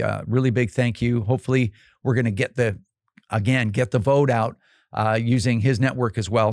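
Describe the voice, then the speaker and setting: A man's voice: on the low side, creaky, dry recording, studio quality. A man hosting a podcast, talking casually and emphatically with lots of fillers and restarts, his voice getting worn out. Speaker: podcast host.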